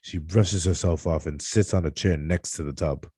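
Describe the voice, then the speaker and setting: Male narrator for a script read: very low, soft-edged, dry recording, slightly stumbling delivery. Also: moderate pace, breathy edge, dry recording. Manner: stumbles over words and corrects himself. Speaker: reader of stage directions at a script table read.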